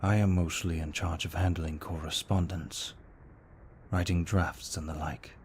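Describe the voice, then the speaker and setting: A man playing a courteous, protective young vampire aristocrat in an ASMR audio roleplay, speaking formally in a hushed, measured way. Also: deep voice, fairly even intonation, a little hiss or hum. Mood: apologetic, courteous. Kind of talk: intimate ASMR roleplay acting.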